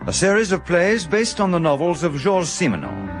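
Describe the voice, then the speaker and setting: A male announcer reads scripted radio drama credits over loud theme music. His voice is fairly high, with very lively intonation. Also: moderate pace.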